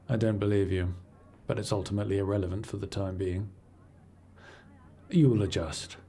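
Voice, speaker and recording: A man playing a cool, imperious scholar-agent in a fantasy audio roleplay, speaking in a formal, measured, dryly condescending way. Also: fairly low pitch, fairly even intonation, dark tone, a little hiss or hum, lightly acted. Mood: cool, commanding. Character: cool, imperious male scholar-agent serving an arcane archivist patron. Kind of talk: scripted audio roleplay acting, addressing the listener.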